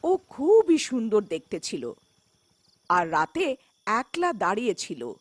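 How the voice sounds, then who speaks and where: A woman's voice: mid-range voice, huge pitch swings, takes it slowly, dry recording. A woman narrating a scripted horror folktale as storyteller.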